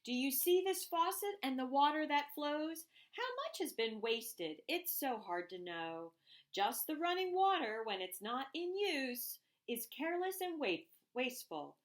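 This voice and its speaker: A woman reading a rhyming children's picture book aloud, expressively and deliberately, with emphasised phrases. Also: high voice, sing-song.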